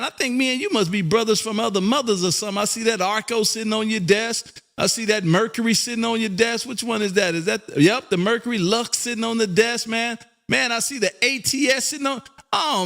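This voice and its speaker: A high-pitched American man hosts live ham radio contacts. He talks in a folksy, exuberant style and likes to repeat a phrase to drive it home. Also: fairly bright tone. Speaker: amateur radio host and operator.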